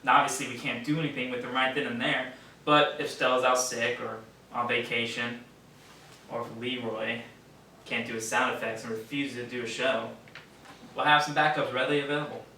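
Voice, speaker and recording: A man's voice: mid-range voice, level tone, brisk, bright, forceful tone. Man as self-assured writer, very echoey stage. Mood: encouraging, matter-of-fact. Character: self-assured male radio drama writer, mildly condescending. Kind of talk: stage play acting. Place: theater stage, distant mic. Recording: very echoey stage, distant, noisy.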